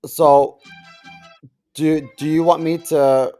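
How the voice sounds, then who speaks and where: A man's voice: fairly high pitch, little pitch movement, slow pace, dark tone, faint music behind. A man co-hosting a podcast, reading a comic story aloud and chatting casually. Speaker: podcast co-host.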